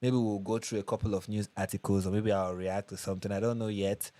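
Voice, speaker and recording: Young nigerian guy on a live stream, kinda low voice, dry room, rambles and restarts a lot. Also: fairly even intonation, fast talker, mellow, no room sound. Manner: chatty, repeats phrases, frequent restarts. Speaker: livestream host. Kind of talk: casual livestream chat reading comments.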